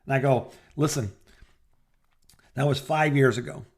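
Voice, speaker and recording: A man's voice: medium pitch, unhurried, a little mellow. Middle-aged man, rambling casual storyteller. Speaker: podcast co-host. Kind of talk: casual phone chat, personal anecdotes.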